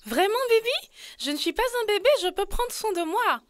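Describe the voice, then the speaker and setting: A woman's voice: very high-pitched, sing-song, moderate pace. A woman voicing an earnest, easily worried little girl in a French-dubbed children's educational cartoon, with a pleading, childlike delivery. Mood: indignant.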